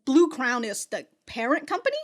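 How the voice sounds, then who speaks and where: High-voiced woman giving casual reactions. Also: big pitch swings, moderate pace. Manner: slangy, frequently swearing. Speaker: reaction video host.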